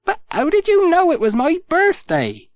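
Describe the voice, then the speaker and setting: A polite, puzzled village postman, as a male storyteller plays him, pitched well above the narration and swinging about with bemused, sing-song questions. The recording is close and dry. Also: wildly swooping pitch, normal speed, no room sound, heavily acted. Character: friendly, puzzled village postman, grown man.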